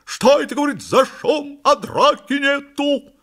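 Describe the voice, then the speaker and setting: A man's voice: very high voice, unhurried, bright, forceful tone, voice pushed up, exaggerated voice. A man voicing a stubborn, offended male brawler in a comic story, with defiant, folksy delivery. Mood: blustering. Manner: defiant, colloquial. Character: stubborn, offended one-legged old-school male brawler.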